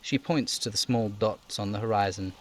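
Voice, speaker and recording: A man's voice: fairly low pitch, normal speed, slight background noise. A man streaming a game, reading descriptive prose aloud like a narrator and dropping in casual asides.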